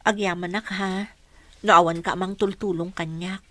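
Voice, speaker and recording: An actress playing an anxious, grateful young wife in a Filipino radio drama, earnest and emotive. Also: female voice, lowish voice, fairly even intonation, normal speed, bright, forceful tone, no room sound. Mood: worried, grateful. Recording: dry studio, music underneath.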